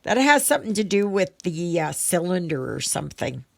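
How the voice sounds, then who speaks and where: A woman chatting casually as she does her crafts, rambling in a halting, unhurried way and thinking aloud. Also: fairly low pitch, sing-song, creaky, dry recording. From Canada. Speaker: craft vlogger. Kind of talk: casual rambling chat while stitching.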